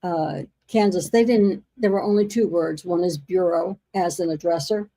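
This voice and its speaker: A woman's voice: on the low side, moderate pace, dark tone. A woman guest in a casual chat, reminiscing and laughing often.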